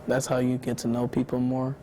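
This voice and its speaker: A teenage boy answers documentary questions in an extremely flat, mellow voice. He is laid-back and halting, and says "like" a lot. Faint background hiss sits under him. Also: mid-range voice. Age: teens. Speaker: student interviewee.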